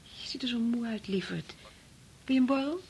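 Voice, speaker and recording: A woman's voice: fairly high pitch, normal speed, darkish tone, a little hiss or hum, natural voice. A Dutch actress from the Netherlands playing an anxious, tender young wife and mother in a radio play, with worried questioning. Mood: weary, concerned.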